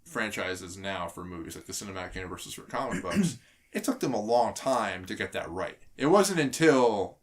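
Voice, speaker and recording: Man, fairly low pitch, podcast co-host, casual chat, halting, frequent restarts. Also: animated. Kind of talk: casual panel discussion about films and games.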